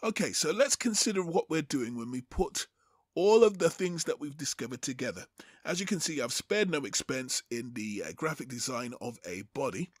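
A man teaching from the Bible as host, in a measured, deliberate and formal way. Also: on the high side, slightly rough, no room sound, clean studio sound. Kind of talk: Bible teaching over slides, with prayer.

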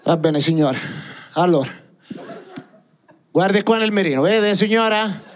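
A man in his thirties performing an animated stand-up set on a club stage into a handheld mic. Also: sits high, a little slow, a little hiss or hum. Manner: animated, acts out scenes with gestures. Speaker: stand-up comedian. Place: comedy club stage with small audience. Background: audience laughter.

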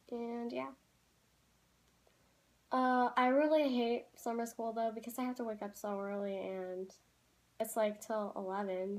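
A teenage girl vlogging from a room at home, rambling in a casual, chatty way with lots of "like"s and quick apologies. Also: medium pitch, darkish tone, poor audio. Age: teens. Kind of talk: casual chat to camera. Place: small room at home, indoors.

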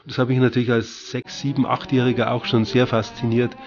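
A man's voice: medium pitch, little pitch movement, a little fast. Middle-aged guy explaining nature stuff in an interview, bit muffled, faint music behind. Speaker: owl expert being interviewed.

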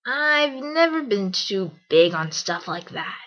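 A woman's voice: mid-range voice, big pitch swings, slow pace, a bit bright, dull audio. A woman voicing a cocky young female cartoon pegasus pony, confident and casual. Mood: easygoing. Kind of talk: amateur cartoon fan audio drama.

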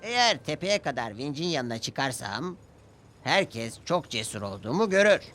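A man voicing a bright, boastful little steam engine. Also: medium pitch, big pitch swings, moderate pace, soft background music. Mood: pondering. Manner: bragging, exclamatory. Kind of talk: children's cartoon storybook voice.

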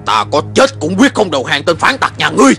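A man playing a proud, defiant army commander in an animated war epic, declaiming forcefully. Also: a little high, fast, vocal fry, faint music behind, full character voice. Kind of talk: Vietnamese TV dub of an animated historical war epic.

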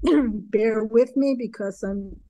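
An older woman with a hoarse voice and a slight cough, offering a heartfelt prayer and chanting a mantra over a video call from home. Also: fairly high pitch, slow pace, warm tone, no echo. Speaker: guest minister. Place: home room, indoors. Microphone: video call, phone held close.

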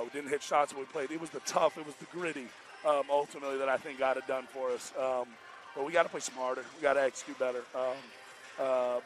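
A man with an American accent, a coach talking casually and emphatically in a post-game interview, repeating his points in colloquial speech. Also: medium pitch. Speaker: basketball coach. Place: indoor basketball arena, courtside. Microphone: headset.

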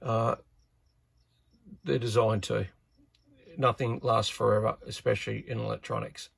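Husky, gravelly man talking shop. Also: lowish voice, steady tone, measured pace. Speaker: radio repair hobbyist presenter. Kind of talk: casual hands-on repair commentary. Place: indoors at an electronics workbench.

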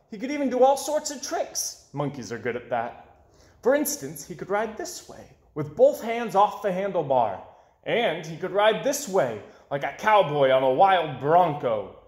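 Animated young man reading a storybook. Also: a little high. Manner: animated, expressive storytelling with dramatic pauses. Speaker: storybook reader. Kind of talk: children's story read-aloud. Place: small indoor room with bookshelves. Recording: roomy, echoey indoor sound.

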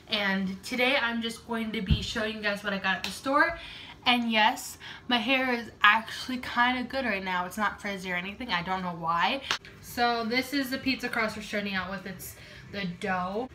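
A woman's voice: fairly high pitch, normal speed, pushed and bright, a little hiss or hum. A young woman chatting informally and self-deprecatingly to a camera in a home kitchen, as a casual vlogger. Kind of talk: casual chat to camera while cooking.

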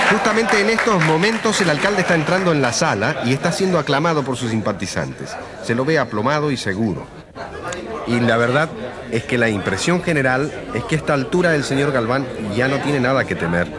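A man's voice: medium pitch, natural voice. A man playing an eager radio reporter giving live commentary in a courtroom drama. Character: eager male radio reporter covering a public trial. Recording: crowd murmur behind the voice.

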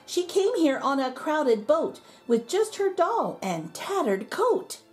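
A woman voicing an eager, friendly little girl in a storybook, calling out warmly. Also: high-pitched, very animated, takes it slowly, soft background music, pitched above the performer's own voice, full character voice. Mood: eager, proud. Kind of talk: storybook character voice.

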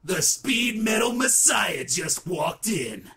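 A man's voice: high voice, very animated, slow, gravelly, sharp tone, full character voice. Man acting a brash washed-up rocker over music. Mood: brash, menacing. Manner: boastful, slangy, theatrical. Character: brash, bitter male washed-up rocker telling his sad story. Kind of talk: comic-book dub narration. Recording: music playing behind the voice.

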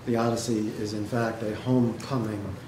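A man giving a dramatic literary reading and talk to an audience, speaking in a measured way with pauses. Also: lowish voice, level tone. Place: indoor hall, seated before an audience. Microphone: microphone on a stand, recorded by a distant camera. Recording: echoey room, noisy background.

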